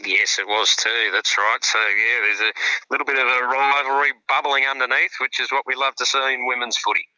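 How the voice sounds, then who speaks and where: A chatty Australian man gives football tips as a regular radio guest, his voice bright and forceful. The sound is dry and a little dull, like a studio line. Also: mid-range voice, brisk, smooth, no echo. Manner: relaxed, chatty, with frequent fillers and restarts. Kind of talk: casual radio sports analysis.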